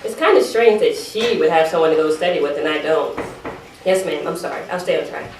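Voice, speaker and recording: A woman's voice: sits low, brisk, voice pushed down, lightly acted. A woman performing a dramatic monologue as an evasive teenage sister, chatty and apologetic as she pleads her case to her mother. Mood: explaining, then sheepish, apologetic. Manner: defensive, apologetic, chatty digressions. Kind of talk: dramatic monologue read aloud at a live event. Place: live event room, recorded from a distance. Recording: roomy, distant microphone, faint background noise.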